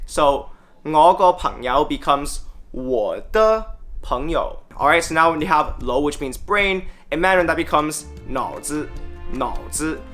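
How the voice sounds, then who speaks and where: A man's voice: fairly high pitch, bright, forceful tone. A man hosting a casual language lesson, explaining words one by one, upbeat and dropping wry joking asides. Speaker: language teacher and host.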